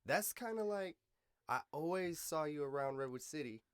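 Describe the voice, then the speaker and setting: A man's voice: fairly high pitch, lively intonation, normal speed, fairly bright tone, clear, resonant tone, no echo, clean studio sound. A man hosting a podcast, chatting in a casual, slangy way like he is talking with an old friend. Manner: slangy, informal, swears casually. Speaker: podcast host. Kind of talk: casual interview chat among friends.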